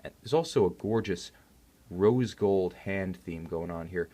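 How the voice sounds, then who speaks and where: A man with a low-pitched voice gives a detailed review as a presenter. He is articulate and enthusiastic, leaning on key words as he moves through technical detail in a semi-improvised commentary. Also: unhurried, dark tone.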